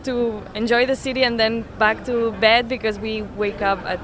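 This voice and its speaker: A woman in her twenties from Spain, answering interview questions outdoors in halting English with many restarts. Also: a little high, level tone, fairly bright tone. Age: 20s. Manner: halting, restarts often, non-native English. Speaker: interviewee, saxophonist. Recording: noisy street background.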